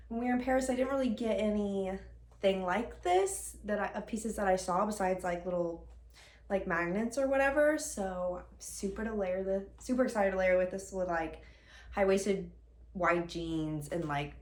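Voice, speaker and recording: A young woman with a slightly hoarse voice after being sick, chatting casually and rambling with lots of fillers in a beauty vlog filmed on her phone at home. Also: mid-range voice. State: hoarse, recovering from illness. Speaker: beauty vlogger. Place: at home, sitting on the floor. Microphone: phone camera.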